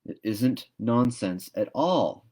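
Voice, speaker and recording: A man's voice: medium pitch, lively intonation, takes it slowly, dark tone. A man voicing a stubborn young schoolgirl in a storybook, solemn, earnest and theatrically reproachful. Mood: indignant, firm.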